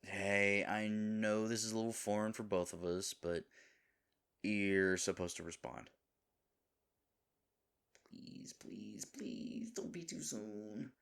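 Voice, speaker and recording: A man's voice: on the low side, takes it slowly, long pauses, a bit bright, full character voice. A man playing a lovable, nervous himbo boyfriend in an intimate audio roleplay, earnest and rambling. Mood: anxious whispering. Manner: earnest, rambling, hesitant, dropping into breathy asides.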